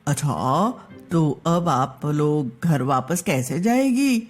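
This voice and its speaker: A woman doing a rustic village fellow in a comic storybook tale. The voice drops low and goes slowly, folksy and inquisitive, with music behind. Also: lively intonation, exaggerated voice. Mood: helpful. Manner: questioning, folksy. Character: rustic, curious, hospitable village man.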